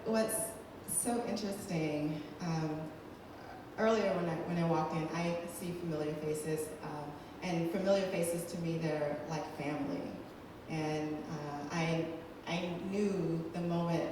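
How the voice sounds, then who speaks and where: A woman's voice: low voice, background noise. A woman guest on a panel, talking conversationally to a live audience and checking in with "right?". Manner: warm, conversational, asks "right?". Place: large hall with audience. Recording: echoey hall from far off.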